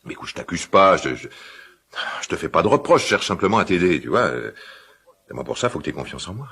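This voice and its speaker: A gravelly, very deep-voiced father in a radio drama. He is gruff and easily worked up, yet caring. His delivery swings widely in pitch and keeps doubling back on itself. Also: very animated, a bit bright, exaggerated voice. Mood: anxious, pressing. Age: middle-aged. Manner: halting, repeats himself, blustering colloquial speech. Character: gruff, quick-tempered but caring father of a teenage son. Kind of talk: naturalistic French radio drama acting.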